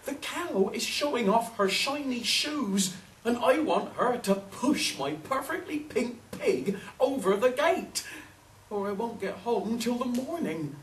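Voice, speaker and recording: A woman storyteller voicing an exasperated old woman in a children's tale, loudly commanding and pleading in repeated phrases. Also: fairly high pitch, expressive, measured pace, pitched above the performer's own voice. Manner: loud, commanding, repetitive pleading. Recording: roomy, distant mic, faint noise.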